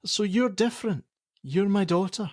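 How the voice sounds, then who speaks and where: A man's voice: high-pitched, normal speed, darkish tone, no room sound, studio quality. A male actor with a Scottish accent playing a blunt, prickly middle-aged father who works at a helpline, in a radio play. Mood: exasperated. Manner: blunt, argumentative, sarcastic.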